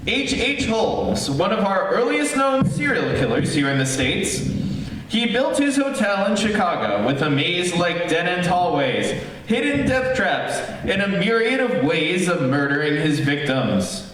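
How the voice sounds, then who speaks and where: A man's voice: high-pitched, very animated, a little slow, fairly bright tone. A man announcing radio plays on a theater livestream with a scripted, dramatic introduction. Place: theater stage. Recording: echoey theater, distant mic, faint noise.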